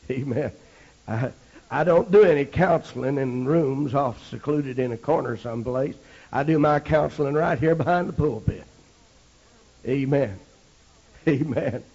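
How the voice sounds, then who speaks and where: A middle-aged American man delivers a Pentecostal sermon in a creaky, somewhat high voice. He shifts between folksy storytelling and forceful exhortation, peppering it with amens. The old recording sounds muffled and lo-fi. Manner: swings between low folksy storytelling and loud shouted exhortation; punctuates phrases with amen. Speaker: preacher. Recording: dull, lo-fi archival sermon recording.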